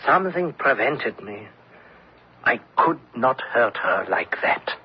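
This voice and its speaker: An actor playing a gentle, thoughtful German lieutenant in a radio drama, reasoning earnestly. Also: male voice, medium pitch, sing-song, takes it slowly, noisy background. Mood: troubled. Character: conscience-troubled German army lieutenant, gentle, thoughtful, male. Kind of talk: old-time radio drama acting. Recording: dull lo-fi radio broadcast recording.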